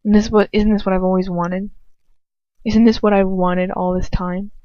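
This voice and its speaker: A woman's voice: mid-range voice, flat delivery, normal speed, no echo. Woman narrating fiction, dry and slightly muffled. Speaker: amateur audiobook reader. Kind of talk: dramatic first-person fiction narration.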